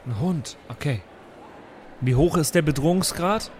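A man's voice: on the high side, measured pace, voice pushed down, lightly acted. A man playing an eager, slangy young superhero rookie just out of school, improvised for an audio role-play. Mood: flustered. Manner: slangy, excitable. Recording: clear background noise.

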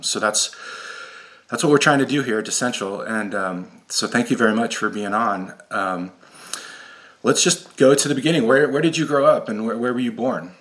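A man's voice: lowish voice, a bit bright. A man hosting a podcast, talking earnestly and enthusiastically, with frequent ums and uhs. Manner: earnest, enthusiastic, filler-heavy. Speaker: podcast host. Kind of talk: casual podcast interview.

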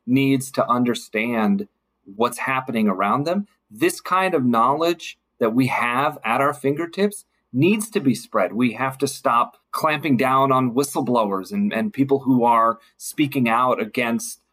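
Earnest, urging man with mid-pitched voice. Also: measured pace, a bit bright. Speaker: podcast guest, local political activist. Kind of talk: political podcast discussion.

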